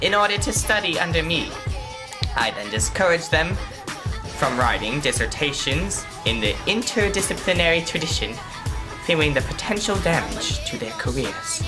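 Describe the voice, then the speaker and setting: A woman narrating a sketch by reading economics text aloud. Her voice is extremely low for a woman yet moves around a lot in pitch, with music playing clearly underneath. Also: very animated, moderate pace. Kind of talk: school project comedy narration.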